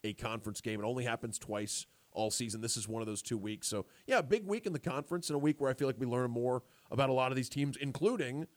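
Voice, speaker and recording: Male radio host, mid-pitched, loose conversational talk with frequent restarts. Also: lively intonation, fast, few pauses, no room sound.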